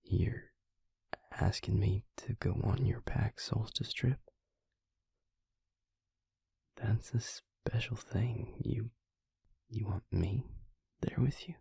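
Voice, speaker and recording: A man playing a gentle, devoted vampire lover in an intimate roleplay audio, speaking tenderly and haltingly in a breathy, gravelly near-whisper. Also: lowish voice, dry recording, clean studio sound, heavily acted. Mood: surprised, gentle. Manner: slow, tender, halting delivery.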